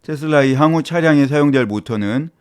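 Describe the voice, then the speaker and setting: A man's voice: a little high, fairly quick, clean tone. Man narrating a formal scripted explainer.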